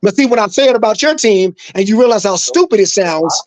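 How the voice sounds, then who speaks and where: Co-host, man from New Mexico, high-ish voice, forceful and profane in debate. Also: animated, fast, no room sound. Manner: emphatic, profane, repeating himself. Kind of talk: heated sports debate.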